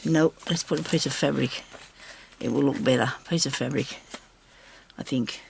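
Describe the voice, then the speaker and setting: Gravelly-voiced woman chatting while crafting. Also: extremely low pitch, normal speed, a little hiss or hum. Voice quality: slightly raspy voice. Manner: chatty, talks to herself while working. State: recovering sore throat. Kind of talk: casual craft vlog talk to viewers. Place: craft table indoors.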